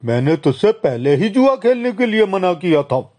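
A man voicing a defensive village man in an animated story, protesting his innocence. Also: sits high, normal speed. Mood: indignant. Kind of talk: Hindi animated story acting.

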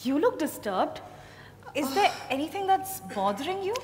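An actress with an Indian accent playing a passionate, insistent young daughter in love, theatrical and emphatic, in a dramatized stage reading. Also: female voice, high-pitched, very animated, moderate pace, crowd noise. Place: on stage in a large hotel ballroom. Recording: echoey ballroom stage, noisy background.

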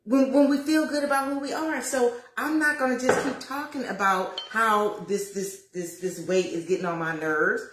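A woman in her fifties chatting to viewers on a livestream while cooking in her home kitchen, lively and preachy, calling out to them as y'all. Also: a little high, moderate pace, hardly any pauses, noisy background. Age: 50s. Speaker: lifestyle vlogger. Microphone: phone camera at arm's length. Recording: roomy kitchen echo.

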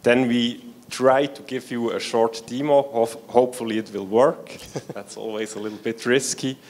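A man's voice: mid-range voice, fairly even intonation, moderate pace, darkish tone, slight background noise. A man from Switzerland presenting at a conference in a large hall, speaking plainly and a little hesitantly into a handheld microphone. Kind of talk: conference talk. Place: large hall with seated audience. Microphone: handheld mic.

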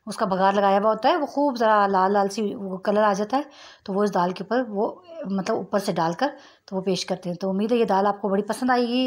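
A middle-aged woman giving a casual home cooking tutorial, explaining each step with chatty asides. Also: mid-range voice. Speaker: cooking vlogger. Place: home kitchen at the stove. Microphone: phone camera held over the pot. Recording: echoey kitchen with cooking noise. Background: frying and sizzling.

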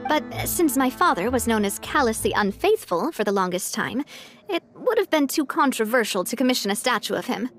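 An actress playing a warm, resolute young woman leader in a video game, wistful yet upbeat. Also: fairly high pitch, big pitch swings, a little fast, faint music behind. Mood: earnest, modest. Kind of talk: video game character acting.

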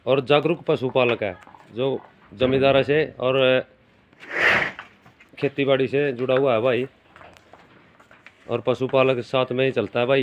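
Haryanvi man, dull lo-fi audio. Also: mid-range voice. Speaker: livestock video presenter and interviewer. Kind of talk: informal on-location commentary. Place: open farmyard with brick walls. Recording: dull phone audio with faint noise.

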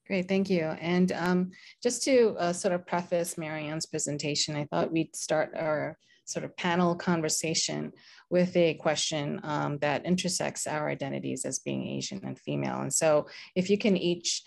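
A woman's voice: low voice, fairly even intonation, moderate pace, vocal fry. A woman moderating a panel discussion over a video call, speaking hesitantly with fillers. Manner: hesitant, with many fillers and restarts.

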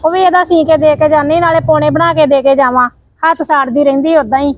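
A woman playing a sharp-tongued bossy sister-in-law. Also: high-pitched, fairly even intonation, fast talker, close to an everyday voice. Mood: complaining. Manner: scolding, blunt and bossy. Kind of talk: village family drama acting.

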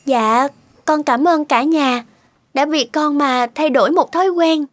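A woman voice-acting polite, gentle young women in an animated moral tale. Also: high voice, a little slow, dry recording. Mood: polite, hesitant. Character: polite young women: gentle daughter-in-law, dutiful care worker.

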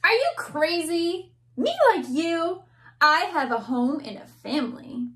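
A woman's voice: sits high, sing-song, fairly bright tone, pitched above the performer's own voice, heavily acted. A woman voicing a taunting storybook boy. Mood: boastful. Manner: taunting, slow rhyming delivery. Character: boastful young boy with a raised shoe.

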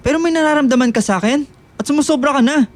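Fed up young man, quick blunt accusing talk, radio drama. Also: very high voice. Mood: angry scorn. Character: exasperated, scornful young man rejecting a clingy friend. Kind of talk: Filipino radio drama acting.

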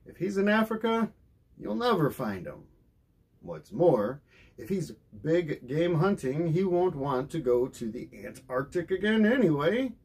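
A man's voice: on the high side, sing-song, unhurried, darkish tone, husky, voice pushed up, heavily acted. A man voicing a skeptical, discouraging friend in a storybook, emphatic and dismissive.

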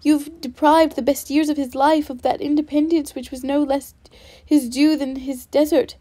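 A woman's voice: high voice, level tone, brisk, dark tone, no echo. Elizabeth, a woman reading a spirited indignant young lady.

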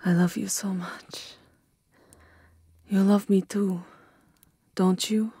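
A woman voicing a seductive, possessive, aristocratic vampire countess in a theatrical, deliberate ASMR roleplay, with breathy whispers. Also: medium pitch, level tone, mellow, no echo, clean studio sound, full character voice. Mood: cloying, intimate.

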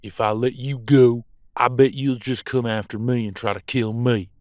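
A man playing a vengeful, stubborn werewolf captor in an audio roleplay, gruff, contemptuous and foul-mouthed. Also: mid-range voice, fairly even intonation, moderate pace, a little mellow, no room sound, studio quality, close to an everyday voice. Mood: grudging doubt.

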